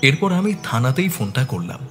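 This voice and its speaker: A man's voice: mid-range voice, sing-song, a little fast, over music, natural voice. A man narrating a dramatised detective audio story as the detective's attentive companion. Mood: measured. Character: attentive male companion of a detective, telling the case.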